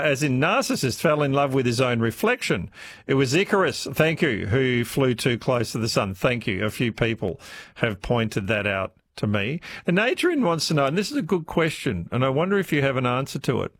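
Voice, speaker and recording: A man hosting a talkback radio show, reading listener messages in a friendly, conversational way. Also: medium pitch. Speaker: radio host. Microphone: studio microphone.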